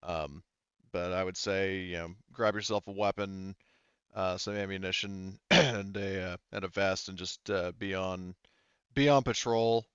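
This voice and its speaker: A man plays a welcoming, practical sheriff in a tabletop roleplaying game. He talks in a halting, informal, matter-of-fact way, in a lowish, clear voice with a very dry sound. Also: fairly even intonation, a bit bright, dry recording, lo-fi sound. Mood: matter-of-fact, briefing. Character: welcoming, practical male sheriff briefing a new recruit.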